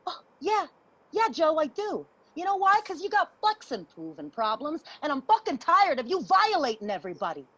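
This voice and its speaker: A woman's voice: sits high, big pitch swings, normal speed, fairly bright tone, muffled recording. An actress playing a brash, confrontational street woman in a stage play reading. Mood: furious. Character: brash, confrontational, foul-mouthed street woman named Jillian.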